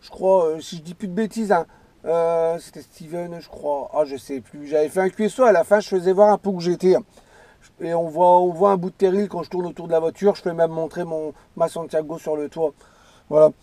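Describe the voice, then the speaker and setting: A man's voice: fairly high pitch, steady tone, a little fast, dark tone, no echo. A man chatting casually as an amateur radio operator, rambling and repeating his phrases. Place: in or beside a parked car outdoors.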